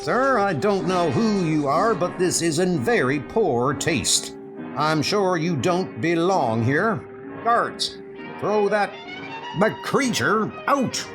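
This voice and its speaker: Casting: male actor as proud comic-book banker, formal oratory, raised pitch, animated, music behind. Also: slow pace, rough recording. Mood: indignant. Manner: formal, speechifying. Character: self-important, proud male bank president.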